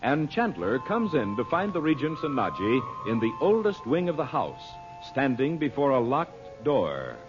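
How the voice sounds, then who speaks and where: A man announces in classic American radio style, reading scripted copy. His mid-pitched voice is very animated, on a muffled old recording with music. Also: normal speed, few pauses. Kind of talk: 1930s-40s radio ad and serial narration. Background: loud-ish music.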